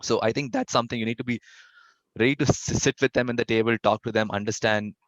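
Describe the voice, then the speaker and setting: A man's voice: medium pitch, steady tone, fast, dry recording. A young man answering interview questions casually, with a stutter and frequent restarts. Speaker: interviewee, fest founder. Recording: dull lo-fi dry audio.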